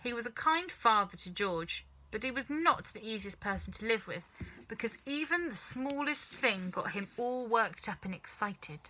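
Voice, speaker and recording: A woman reading a children's story aloud as an expressive narrator. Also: fairly high pitch, moderate pace, a little hiss or hum, poor audio. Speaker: storybook reader.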